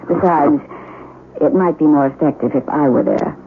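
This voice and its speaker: A woman's voice: low-pitched, normal speed. An actress playing a gracious, curious widowed lady of the house in a 1970s radio drama, speaking in a refined, formal way. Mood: charming, assured. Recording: tinny vintage radio recording, background noise.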